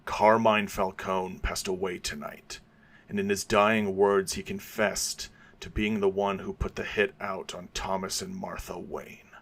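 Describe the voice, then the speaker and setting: A man's voice: sits low, little pitch movement, measured pace, creaky, a bit bright, heavily acted. A man voicing a grim, obsessed male vigilante who speaks in terse demands. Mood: grim, demanding.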